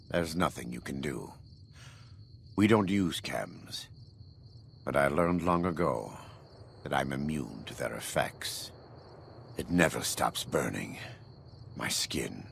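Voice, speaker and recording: A man playing a grave, devout, burn-scarred former war leader in a video game, speaking in a solemn, measured, preacherly way with a deep gravelly voice. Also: a bit bright, a little hiss or hum, full character voice. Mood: solemn, gentle, then pained, restrained. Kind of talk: video game dialogue acting.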